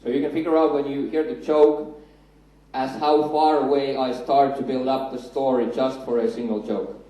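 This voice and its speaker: A Finnish-accented man in his thirties speaks from a stage in a large hall, joking and building up to his punchlines. His voice is mellow and dark. The sound is heavily echoey and comes from some distance from the mic. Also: mid-range voice, little pitch movement, normal speed. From Finland. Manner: chatty, self-deprecating, builds up to punchlines. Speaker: conference speaker. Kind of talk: conference talk with jokes. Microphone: handheld mic.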